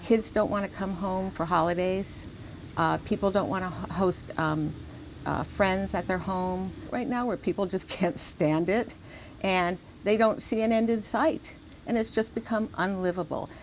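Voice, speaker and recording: A woman's voice: mid-range voice, unhurried, a little hiss or hum, low-quality recording. A woman with an American accent giving an interview as a local official. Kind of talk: interview sound bite.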